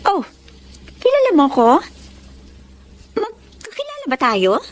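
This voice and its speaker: A woman's voice: sits high, wildly swooping pitch, slow, exaggerated voice. A woman acting a blunt, sassy young nursing student in a Tagalog radio drama. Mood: puzzled, curious. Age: young adult. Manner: brash, indignant, matter-of-fact.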